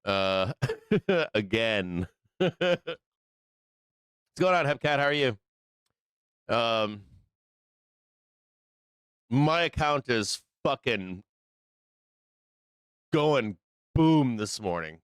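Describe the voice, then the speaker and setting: A hoarse Midwestern American man in middle age, talking low and loose on his live trading stream. His worn voice still comes through very clean and close on a dry, tight mic. He rambles, swears freely and leans on ums. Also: lively intonation, drawn-out words, pauses often, fairly bright tone, no room sound. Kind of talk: casual profane live-stream chat.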